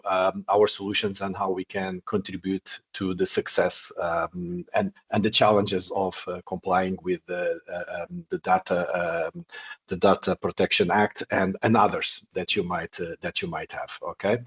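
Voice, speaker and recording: A Portuguese-native man introduces himself on an online business call. His pitch sits on the low side, and he hesitates often, repeating words between fillers. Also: steady tone, a little slow, husky. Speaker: regional sales manager. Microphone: online meeting audio.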